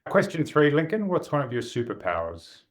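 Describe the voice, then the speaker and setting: A man hosting an interview podcast, asking brisk, upbeat questions. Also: on the high side, very animated. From Australia. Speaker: podcast host.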